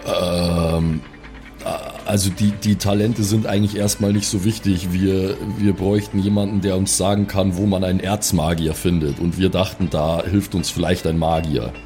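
A man playing a tabletop role-playing game, improvising in casual, halting, informal chat. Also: deep voice, fairly even intonation, mellow, faint music behind.

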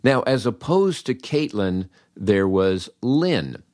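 An older American man hosting a humorous storytelling monologue, wry and animated, acting out dialogue with dramatic pauses. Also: on the low side, dry recording, clean studio sound. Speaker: podcast host, comedy writer.

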